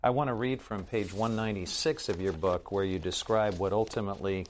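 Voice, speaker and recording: A man interviewing an author, setting up questions in deliberate, broken-up phrases. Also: fairly low pitch, a little hiss or hum. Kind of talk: measured book-interview questioning.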